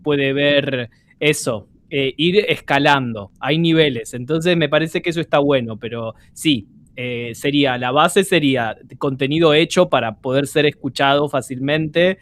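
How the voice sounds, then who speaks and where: A man's voice: a little high, unhurried, hardly any pauses, a bit bright. A man hosting a casual podcast, speaking thoughtfully with frequent hesitant fillers. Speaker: podcast host.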